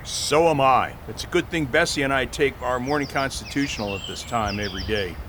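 A man's voice: medium pitch, brisk, noisy background, close to an everyday voice. A man playing a kindly, folksy frontier doctor in an audio western. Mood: genial, helpful. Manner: genial, folksy. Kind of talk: amateur radio western acting.

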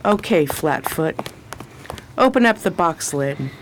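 An actress playing a shrewd, steely adventuress with a sardonic, commanding manner in a 1920s crime radio drama. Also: female voice, deep voice, lively intonation, slow pace, a little hiss or hum. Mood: brisk, triumphant.

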